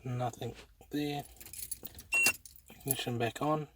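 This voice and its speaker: Slow-talking Australian man, noisy recording. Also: medium pitch, fairly even intonation. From South Australia. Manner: halting, unscripted delivery in short phrases. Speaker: amateur YouTube presenter. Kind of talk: casual hands-on installation demo. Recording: noisy amateur handheld recording, clicks.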